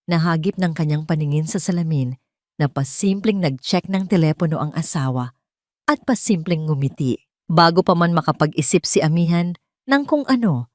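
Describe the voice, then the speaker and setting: Woman narrating a love story drama, low-ish voice, dry studio sound, measured and dramatic. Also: darkish tone, dry recording. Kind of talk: scripted audio drama narration.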